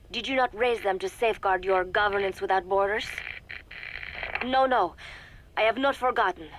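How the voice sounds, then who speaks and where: Actress as a composed young spy on noisy tape. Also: female voice, mid-range voice, fairly bright tone, close to an everyday voice. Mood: guarded, uneasy, then defensive. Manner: measured, formal, deliberate diction. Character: composed young female spy secretly reporting to a shadowy master. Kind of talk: video game voice acting, spoken audio log. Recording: noisy cassette tape recording with ambience.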